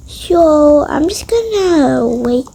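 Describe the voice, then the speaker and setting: A young-sounding female speaker narrating a casual improvised game roleplay in a hushed, hesitant way, often trailing off into whispers. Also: sits high, expressive, very slow, creaky, rough recording. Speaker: roleplay video creator.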